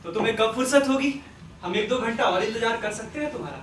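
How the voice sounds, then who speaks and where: Earnest, courteous father played by a man, measured and insistent, roomy stage play, faint noise. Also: extremely high pitch, fairly bright tone. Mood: polite, insistent. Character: earnest, forgiving family man, gently moralising father. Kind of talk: Hindi stage play acting. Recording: echoey, distant stage recording with noise.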